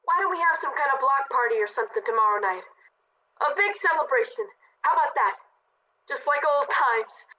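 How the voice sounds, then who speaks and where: An anxious, peacemaking suburban neighbor in a radio drama, played by a woman. The voice is high and bright, with a somewhat dull lo-fi finish. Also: animated, brisk. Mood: eager, coaxing. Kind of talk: radio play acting. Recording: dull lo-fi audio.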